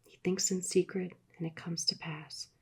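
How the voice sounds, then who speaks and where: Soft-spoken woman reading, breathy and low. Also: little pitch movement. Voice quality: soothing. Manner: soft, slow, drifting into whispers. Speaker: ASMR reader.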